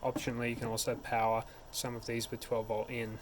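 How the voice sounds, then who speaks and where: A man's voice: mid-range voice, monotone, fairly quick. Man giving a tutorial over background noise. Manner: informal, step-by-step explaining. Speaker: tech support instructor. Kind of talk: hands-on tutorial narration.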